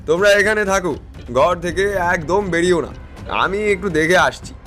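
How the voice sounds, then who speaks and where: A man's voice: sits high, moderate pace, fairly bright tone, soft background music, pitched above the performer's own voice. A male actor voicing a commanding yet kindly tantric in an audio drama, emphatic and authoritative. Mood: urgent, reassuring.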